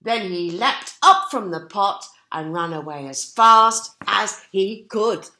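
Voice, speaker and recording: A woman's voice: mid-range voice, lively intonation, takes it slowly, sharp tone. A woman storyteller reading expressively to young children, with chatty questions and asides to them. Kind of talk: animated storybook narration with asides to listeners.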